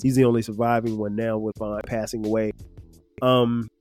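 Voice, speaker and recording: A man in his fifties hosting his own podcast, talking in a relaxed, rambling way with lots of fillers, his voice a little nasal and stuffed-up. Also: lowish voice, a little fast, soft background music. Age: 50s. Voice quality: slightly nasal, stuffed-up from sinus trouble. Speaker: podcast host. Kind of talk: casual solo podcast reflection.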